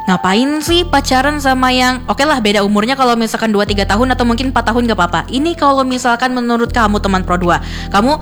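A woman's voice: a little high, quick pace, a bit bright, faint music behind. A young woman hosting a radio show in a studio, talking in a chatty, giggly way full of fillers. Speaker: radio host. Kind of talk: casual radio chat.

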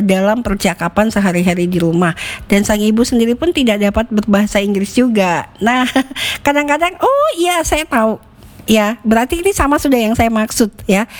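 A woman, hosting a radio show in a casual, chatty way and often addressing her listeners directly. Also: mid-range voice. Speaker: radio host.

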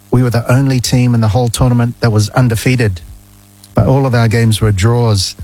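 A man, a guest ambassador giving measured, friendly answers in a radio studio interview. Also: fairly low pitch, darkish tone, poor audio. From New Zealand. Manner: measured, friendly, explains at length.

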